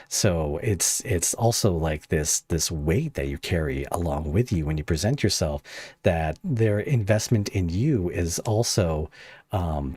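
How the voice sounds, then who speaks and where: Deep-voiced, animated male podcast host. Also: no echo. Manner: playfully dramatic. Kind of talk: casual podcast interview.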